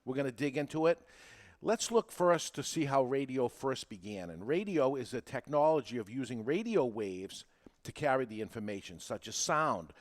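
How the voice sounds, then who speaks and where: Guy hosting a how-to podcast, mid pitched voice, punches his points. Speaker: podcast host and marketing coach. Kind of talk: animated instructional monologue to listeners.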